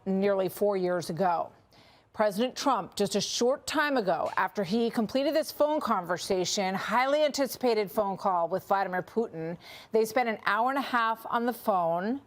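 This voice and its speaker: An American woman working as a news anchor, presenting live and reading out statements. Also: mid-range voice.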